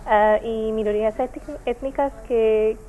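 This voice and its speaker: A woman from Portugal with a Portuguese accent, a native Portuguese speaker, giving careful, halting interview answers as an NGO representative. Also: on the high side, steady tone, phone-quality sound. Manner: halting, careful, searching for words. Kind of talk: explanatory radio interview answers.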